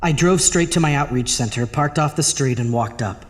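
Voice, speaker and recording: Male actor, haunted everyman, mid-pitched voice, first-person horror narration, dramatic storytelling, audio drama. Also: moderate pace, big-room sound, a little hiss or hum, close to an everyday voice. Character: Arthur, troubled married man haunted by a drain.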